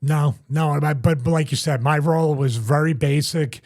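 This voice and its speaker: A man's voice: fairly high pitch, moderate pace, darkish tone. A middle-aged man hosting a casual podcast, telling long rambling stories with restarts and repeats. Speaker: host, former wrestler.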